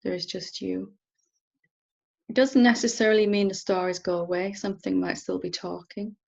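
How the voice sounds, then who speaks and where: Female meditation teacher: soft instruction, level intonation, creaky texture, lingering pauses, somewhat muffled sound. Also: mid-range voice, steady tone. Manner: unhurried, gentle, with long pauses. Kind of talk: guided meditation, softly instructing.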